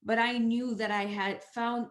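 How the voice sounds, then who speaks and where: A woman's voice: on the high side, a little slow, reverberant. A young woman from the Dominican Republic, a startup co-founder answering interview questions with lots of energy. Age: young adult. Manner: energetic, lively.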